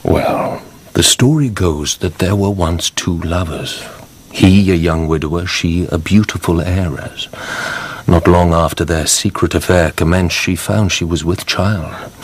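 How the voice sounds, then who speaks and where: A man playing a suave, mysterious Count with measured, courtly, insinuating speech in a radio drama. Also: low-pitched, slight background noise. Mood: ominous storytelling.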